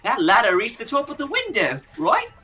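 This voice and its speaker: A woman's voice: a little high, huge pitch swings, brisk, sharp tone, faint music behind, full character voice. A woman voicing an eager teenage student in an anime game fan dub.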